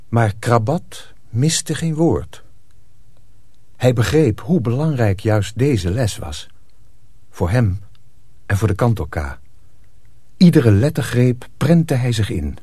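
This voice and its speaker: A man narrating a radio play as a measured storyteller. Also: medium pitch, lively intonation, a little mellow, no echo. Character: measured male storyteller of a dark folk tale.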